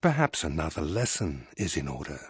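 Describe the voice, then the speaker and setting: An actor playing a cold, sadistic admiral interrogator in a video game, speaking with formal, deliberate menace. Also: male voice, a bass voice, sing-song, slightly rough, no room sound, studio quality, full character voice. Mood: cruel contempt. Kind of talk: dramatic video game villain acting.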